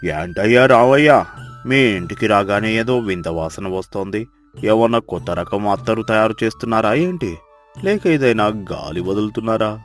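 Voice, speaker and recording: A man voicing village characters in a children's story, with a deep, slow, scolding manner. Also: animated, soft background music. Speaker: narrator voicing male characters.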